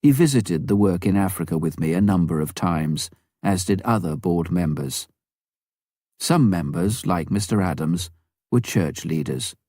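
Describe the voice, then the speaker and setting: Man narrating a memoir with lively intonation. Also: sits low, a little slow, no room sound, clean studio sound. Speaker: audiobook reader.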